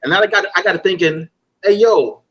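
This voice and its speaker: A young man from Guyana hosting a casual interview, animated and playful, talking in easy slang. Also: high voice, fairly quick, slightly rough, dull audio. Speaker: podcast host.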